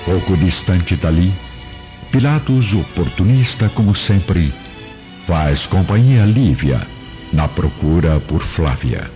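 Male narrator for radio drama: very low, animated, scripted, lo-fi recording. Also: takes it slowly. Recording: muffled lo-fi, music underneath.

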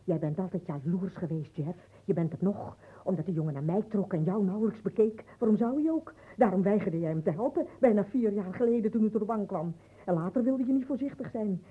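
An actress playing an insistent, accusing wife in a radio play, speaking in an urgent, repetitive way. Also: female voice, mid-range voice, fairly quick, husky, lightly acted. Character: insistent, accusing wife waiting obsessively for news. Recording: muffled old radio play recording.

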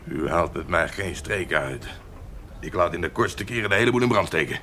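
An actor playing a blustering, suspicious military captain, imperious and threatening, in a historical radio drama. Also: male voice, low voice, expressive, normal speed, pushed and bright, slight background noise, full character voice. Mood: stern, suspicious. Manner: imperious, threatening, barks orders.

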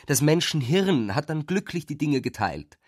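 An actor in a radio drama playing a jealous, brooding husband and intellectual, lecturing emphatically and scornfully. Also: male voice, on the high side, big pitch swings, normal speed. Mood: bitter irony. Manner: emphatic, didactic, scornful, spacing words out. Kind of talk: literary radio drama acting.

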